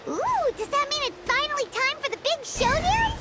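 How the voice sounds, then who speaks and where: A woman voicing a tiny, excitable, childlike companion creature in a video game, eager and exclamatory. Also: very high voice, normal speed, heavy background noise. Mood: excited. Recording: loud game music over voice.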